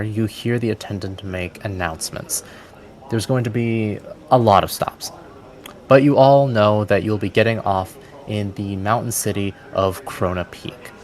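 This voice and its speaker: A man acting as game master, narrating scenes descriptively for a tabletop game. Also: fairly low pitch. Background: background music.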